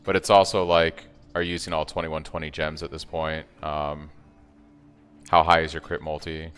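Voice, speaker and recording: Deep, soothing male streamer. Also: moderate pace, clean tone. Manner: relaxed, thinks aloud, reads and answers chat. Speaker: game streamer. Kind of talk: casual live-stream commentary. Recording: long reverb, faint music behind.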